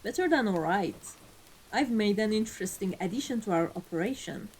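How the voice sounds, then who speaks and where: A woman playing an amused, ruthless vampire mafia boss in a scripted ASMR roleplay, speaking in a deliberate, theatrical, condescending way. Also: mid-range voice, expressive, a little mellow, slight background noise, close to an everyday voice, rough recording. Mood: cool, commanding. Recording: voice over background music.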